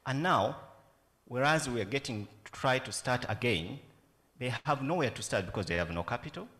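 A man's voice: medium pitch, animated, normal speed, a bit bright, in a large hall. A man in his forties with a Ugandan accent, speaking earnestly into a stand mic at a festival panel, often asking reflective questions. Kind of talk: panel talk. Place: conference room with a yellow banner.

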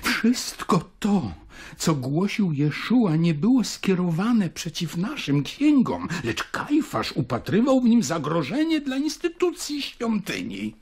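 Zachrypnięty, uduchowiony judejski dostojnik. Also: male voice, high-pitched, wildly swooping pitch, takes it slowly, darkish tone, slightly rough, exaggerated voice. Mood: solemn, confessional. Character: troubled, devout Judean councillor, solemn and mystical. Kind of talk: literary audio drama acting.

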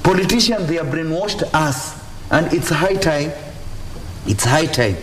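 A man's voice: a little high, roomy sound, a little hiss or hum, poor audio. A man with a Kenyan accent, a religious leader giving impassioned, repetitive, exhorting answers in a TV interview. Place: TV studio. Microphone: lapel mic.

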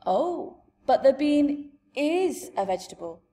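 A woman's voice: sits high, big pitch swings, slow, mellow, pitched above the performer's own voice, full character voice. A woman voicing a polite, wary young girl who asks questions in a storybook tale. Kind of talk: storybook character voice.

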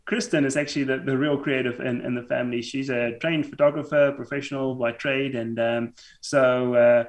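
A man's voice: mid-range voice, fairly even intonation. A man in his thirties from South Africa, a native Afrikaans speaker with a South African accent, talking as a podcast guest from a home room over a remote call with headphones and a mic, stumbling and restarting his sentences often. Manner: halting, stammering restarts. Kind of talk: casual podcast interview.

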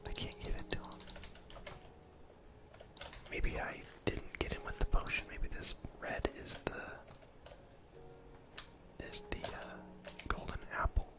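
Young guy whispering asmr game commentary, breathy n chatty, close mic, keyboard clicks. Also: takes it slowly, long pauses. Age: young adult. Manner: casual, gently chatty. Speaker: gaming ASMR video host. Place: indoor room at home.

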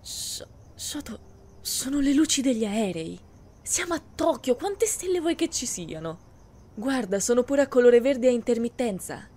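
A woman's voice: a little high, very animated, normal speed, dark tone. A young woman playing a kind, chatty, easily flustered heroine in an anime fan audio drama.

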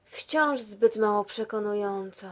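A woman's voice: mid-range voice, measured pace, close to an everyday voice. A woman playing a wry, skeptical narrator in a scripted comedy, questioning the hero in a dry, teasing way.

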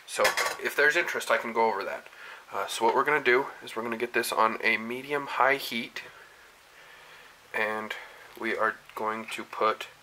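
A man's voice: medium pitch, sharp tone, a little hiss or hum, poor audio. Young man, laid-back home cook host, folksy step-by-step talk, camera mic in a home kitchen with a pan sizzling behind. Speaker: home cooking show host. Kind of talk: casual cooking demonstration. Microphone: camera mic, speaker off frame, moving around. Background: frying and sizzling pan.

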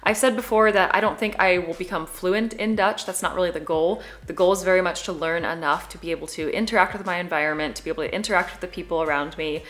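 A young woman talks to her camera from a living room sofa, chatty and upbeat. She speaks quickly, with a slightly low voice for a woman, and sounds relaxed and conversational throughout. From Midwest USA. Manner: chatty, upbeat, gestures while talking. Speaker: vlogger. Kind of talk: casual talk to camera.

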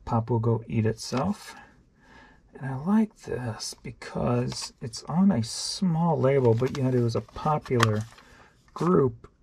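A man with a gravelly, low-toned voice rambles offhandedly, thinking aloud as he goes. His tone is dark and mellow, and faint clicks and noise sit behind him. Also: expressive, slow. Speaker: online reseller. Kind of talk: casual show-and-tell vlog.

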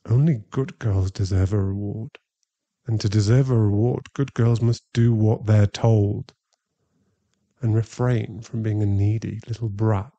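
Dominant male werewolf lover played by a man, ASMR roleplay: low, breathy and husky, teasing and deliberate, dull dry sound. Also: steady tone, warm tone, no echo. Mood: commanding, seductive. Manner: slow, deliberate, intimate.

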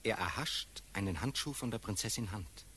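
A man narrating a classical radio drama's stage directions in a sober, measured, scripted way. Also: lowish voice, bright, forceful tone, breathy edge. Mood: neutral.

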